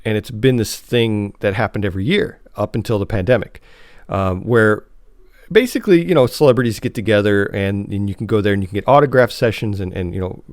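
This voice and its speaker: A man's voice: on the low side, brisk, no room sound, clean studio sound. A man co-hosting a podcast, chatting informally and enthusiastically like a fan. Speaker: podcast co-host. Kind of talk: casual chat about TV shows.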